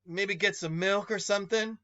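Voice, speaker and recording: A man's voice: sits high, steady tone, moderate pace, pushed and bright, highs cut off, pitched above the performer's own voice. A man voicing a timid, wary, polite young boy in a storybook read-aloud, with short, tentative questions and replies. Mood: eager to please.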